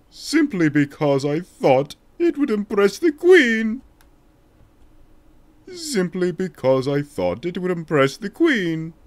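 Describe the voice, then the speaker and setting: A man's voice: high voice, no room sound, voice pushed up, exaggerated voice. A man voicing a solemn, remorseful king in a declamatory, dramatic way for a video game fan dub.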